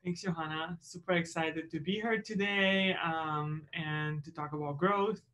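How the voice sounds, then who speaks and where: A woman's voice: low voice, steady tone, measured pace, a bit bright. A woman from Romania co-hosting a webinar in an upbeat, chatty way with fillers. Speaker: webinar co-host. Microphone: video call.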